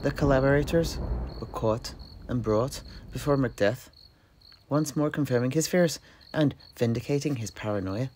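A man telling a fantasy history in character, conversationally, with a hesitant, measured, theatrical delivery. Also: mid-range voice, background noise. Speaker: in-character storyteller. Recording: music behind the voice. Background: plucked guitar music.

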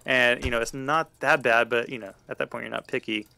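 A man in his thirties with a gravelly voice, telling a personal story conversationally as a podcast host. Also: medium pitch, fairly even intonation, a little fast, bright, forceful tone.

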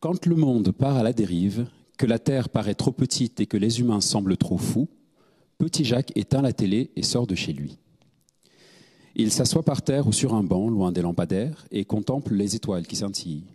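A young man reading short texts aloud in a calm, measured way, into a handheld mic in a studio. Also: on the low side, husky, long reverb tail. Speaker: actor reading dedications. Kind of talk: read-aloud. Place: small studio set.

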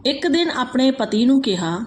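A woman reading out a moral folk tale as a storyteller, in a steady, even manner. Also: high voice, moderate pace, slight background noise.

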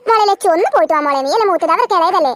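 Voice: very high voice, animated, very fast, a bit bright, no echo. A performer voicing an exasperated, bustling mother in a comedy cartoon, nagging and coaxing. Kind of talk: Malayalam comedy cartoon dialogue.

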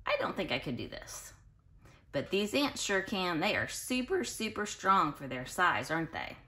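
A woman reading a children's picture book aloud in an expressive, sing-song way, speaking directly to young listeners. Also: fairly low pitch. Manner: pauses to explain and question listeners directly. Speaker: children's read-aloud host.

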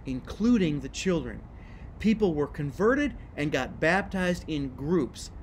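A man's voice: sits high, a little hiss or hum. A middle-aged man giving a scripted church history lesson to camera on a screened porch, speaking emphatically and gesturing with his hands.

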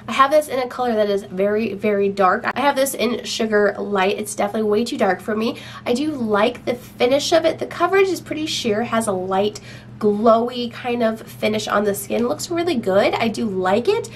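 A woman's voice: fairly high pitch, a little hiss or hum. A young woman with a slight cold, chatting casually to camera at home as she reviews her makeup products. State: has a cold. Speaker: beauty vlogger. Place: home room with shelves.

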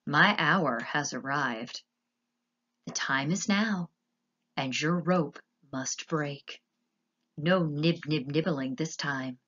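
A woman's voice: low voice, husky, dull audio, full character voice. A woman voicing a scheming, vengeful male rat in a storybook, theatrical and sly. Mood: gloating, hushed. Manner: hushed, drawn-out, theatrical.

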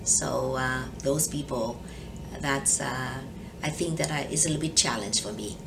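A woman's voice: a bass voice, unhurried, gravelly, recorded from a distance, background noise. An older woman judge with a Vietnamese accent, speaking carefully in an oral history interview, hesitating often. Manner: careful, hesitant, many ums. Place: office with leather chair.

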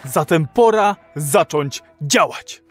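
A man's voice: a little high, lively intonation, slow pace, slightly rough, faint music behind, full character voice. An actor playing a fervent, persuasive male rebel in an audio drama, speaking emphatically and colloquially. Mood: challenging. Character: fervent, persuasive rebel man urging his friend to act.

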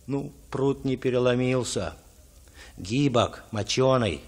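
An actor playing a good-hearted, sly young peasant lad in a radio drama, folksy and confiding. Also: male voice, mid-range voice, slow. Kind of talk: Russian radio drama acting.

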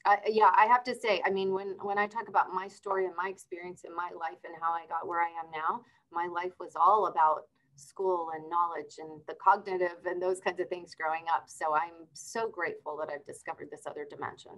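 A woman's voice: fairly low pitch, flat delivery, a little fast, few pauses, a bit bright, rough recording. A middle-aged woman, born in Argentina, telling her life story as a guest on a video-call interview. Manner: upbeat, explanatory, storytelling. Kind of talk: casual interview talk. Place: office with window blinds.

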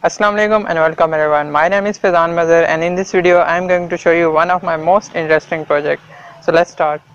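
A man's voice: fairly high pitch, fairly even intonation, quick pace, fairly bright tone, clean tone, highs cut off. A young man outdoors, politely greeting viewers and introducing his project to a camera a few steps away. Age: young adult. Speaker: project presenter. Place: outdoors by a tree in a yard.

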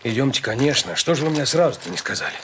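A man playing a brisk, fair-minded army major with a commanding military manner in a children's audio story. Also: medium pitch, animated, background noise, close to an everyday voice.

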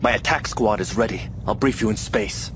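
An actor playing a grave, calculating rebel leader in a video game, with a measured, formal manner. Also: male voice, lowish voice, a little hiss or hum, close to an everyday voice. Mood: formal, determined. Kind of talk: video game cutscene acting. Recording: loud music behind.

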